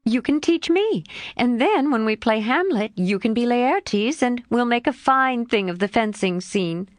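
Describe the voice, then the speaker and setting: A frank, spirited young girl, put on by a woman narrator, scolds her friend in a bright, eager voice that swoops upward. The pitch moves a lot, and the sound is close and dry with no room around it. It suits a classic storybook read aloud. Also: expressive, measured pace, no room sound. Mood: teasing.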